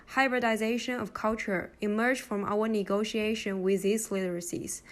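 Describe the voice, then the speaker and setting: A woman with a Chinese accent opens a class presentation, reading a prepared script. Her delivery is measured and deliberate, and her voice sits a little high. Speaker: student presenter. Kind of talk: scripted narration.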